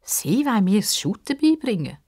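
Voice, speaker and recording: A woman's voice: mid-range voice, very animated, moderate pace, a little mellow, voice pushed up. A woman voicing a stubborn, spirited young girl in a children's story, defiant and impulsive. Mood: doubtful.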